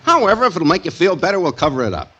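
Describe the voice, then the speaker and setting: An actor with an American accent playing a careless, overconfident best buddy who schemes in a 1940s radio comedy. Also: male voice, high-pitched, very animated, racing, pushed and bright, highs cut off, exaggerated voice. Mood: breezy, confident. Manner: breezy, overconfident. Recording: noisy vintage 1940s radio broadcast recording.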